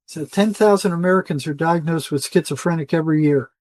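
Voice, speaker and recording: Older man, slightly high voice, insistent talker. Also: brisk, a little mellow, dry recording. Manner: insistent, emphatic, repeats phrases for stress. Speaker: interview guest, retired psychotherapist. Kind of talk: conversational interview monologue.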